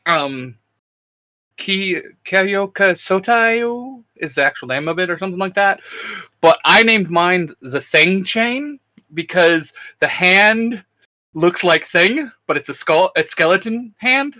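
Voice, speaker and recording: Guy co-hosting, bright slightly high voice, stumbles around his words, takes his time. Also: lively intonation. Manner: hesitant, halting, self-correcting. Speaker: co-host. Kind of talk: casual chat.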